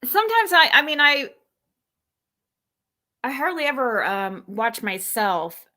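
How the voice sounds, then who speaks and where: A young woman talks on her own livestream, rambling and stopping to correct herself. Her voice sits high and sounds bright and forward. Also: very animated, slow pace. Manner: rambling, self-correcting, chatty. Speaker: livestream host. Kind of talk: casual livestream commentary.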